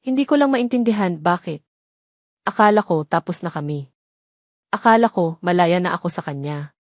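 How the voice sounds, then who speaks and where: A woman voicing a frightened, sorrowful, soft-spoken young mother in an audio drama. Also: on the low side, expressive, moderate pace, dry recording, studio quality, natural voice. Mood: fearful, hurt. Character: frightened, hurt young mother confiding tearfully.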